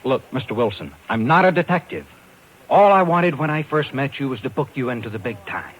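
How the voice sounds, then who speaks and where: An American actor playing a determined talent agent who narrates, emphatic and hard-boiled, in a 1950s radio drama. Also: male voice, mid-range voice, animated, brisk, a bit bright, poor audio. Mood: insistent. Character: determined, brisk New York talent agent man, narrator. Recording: hissy old radio recording.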